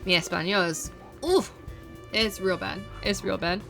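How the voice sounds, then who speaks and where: A woman's voice: medium pitch, a little slow, vocal fry, a bit bright, faint music behind. A woman variety streamer chatting casually with her live audience, playful and self-deprecating. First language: English.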